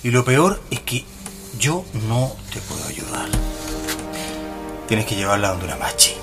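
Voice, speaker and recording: An actor playing an earnest, grave male parapsychologist in a radio drama, speaking dramatically and deliberately with a Chilean accent. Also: medium pitch, big pitch swings, loud music behind the voice.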